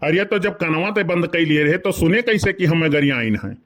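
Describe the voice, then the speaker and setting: A man's voice: fairly high pitch, lively intonation, brisk, fairly bright tone. A man voicing an older village uncle in a comic cartoon, blunt and questioning, with an Awadhi accent. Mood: suspicious. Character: older village uncle, teasing family elder.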